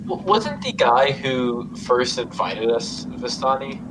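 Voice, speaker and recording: A man talking casually during a tabletop roleplaying game. His voice sits at an average pitch, with clear rumbling noise in the background. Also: animated, slow.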